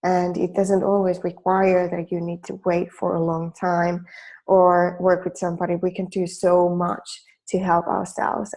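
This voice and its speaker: Finnish-accented woman, low and gravelly. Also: a little mellow. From Finland. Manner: earnest, with frequent fillers and restarts. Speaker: life coach. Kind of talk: self-help training talk.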